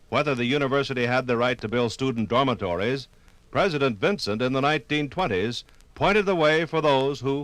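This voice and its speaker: A man narrating a scripted 1950s radio documentary in a measured, formal, weighty manner. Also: medium pitch, fairly bright tone, dry recording.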